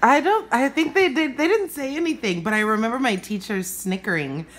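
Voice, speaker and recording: A woman's voice: fairly high pitch, brisk, fairly bright tone. A young woman, casually telling childhood stories and acting out remembered remarks. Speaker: daughter recalling childhood. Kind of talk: casual storytelling chat. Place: home kitchen and dining area, indoors.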